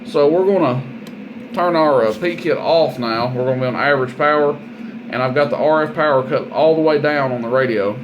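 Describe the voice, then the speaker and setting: A man's voice: medium pitch, brisk, dull audio, background noise. A man giving a casual, hands-on radio equipment demonstration in a plain-spoken, folksy, informal way. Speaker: product demonstrator and seller.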